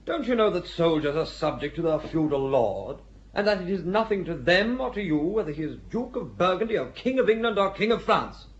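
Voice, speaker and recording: An actor playing a blustering squire who swings between bossy orders and exasperated hesitation in a radio drama. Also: male voice, sits high, very animated, brisk, dull audio. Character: blustering, self-doubting squire commanding a castle.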